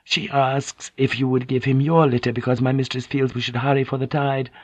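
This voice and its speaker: A man's voice: mid-range voice, little pitch movement, a little fast, husky, dry recording, voice pushed up, natural voice. A man voicing an apologetic, humble young maid passing on her mistress's wishes. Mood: deferential. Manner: humble and polite. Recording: dull, dry lo-fi recording.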